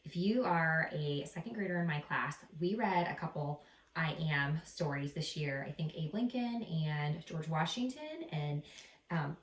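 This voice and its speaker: Female teacher, expressive story-time read-aloud, animated intonation, chatty asides to kids, echoey room. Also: lowish voice, slight background noise. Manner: animated, acts out characters, stretches key words. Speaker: second-grade teacher reading aloud. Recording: roomy echo, some distance from mic.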